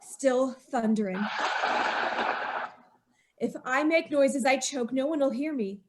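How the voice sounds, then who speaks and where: High, tense woman narrating a radio horror. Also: slow, very noisy. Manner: tense, haunted, rising to frantic. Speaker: narrator and lead character. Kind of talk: old-time radio drama narration.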